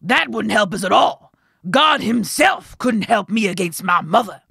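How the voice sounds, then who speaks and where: A woman voicing a frantic young male miller in a storybook tale, shouting urgently. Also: medium pitch, lively intonation, unhurried, vocal fry, breathy edge, no room sound, studio quality, exaggerated voice. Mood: despairing. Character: frantic young man, a miller defying his mother.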